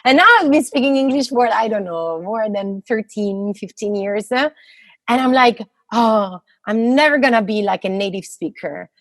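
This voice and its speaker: A woman's voice: fairly high pitch, normal speed, a bit bright, no echo. A woman in her thirties with an Italian accent, a physicist answering interview questions casually, hesitant and full of fillers and restarts. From Italy. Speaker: guest, postdoctoral physicist.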